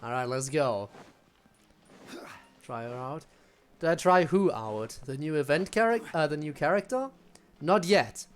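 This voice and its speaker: Woman game streamer, low pitch, casual commentary, dry teasing asides, faint background music. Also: expressive, unhurried, long pauses. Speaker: live streamer playing a game.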